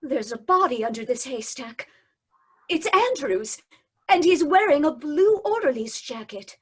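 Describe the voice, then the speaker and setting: A woman's voice: high voice, big pitch swings, measured pace. A woman acting an anxious, inquisitive sleuth's companion in an old-time radio mystery. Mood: uneasy, shocked. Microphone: video-call microphone.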